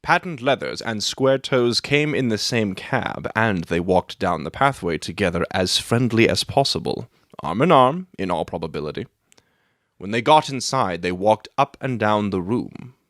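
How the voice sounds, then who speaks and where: A man's voice: fairly low pitch, animated, moderate pace, fairly bright tone, dry recording, clean studio sound. A man playing a brilliant, proud detective in a dramatic audiobook reading, lecturing in a precise, self-satisfied, matter-of-fact way. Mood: assured, confiding.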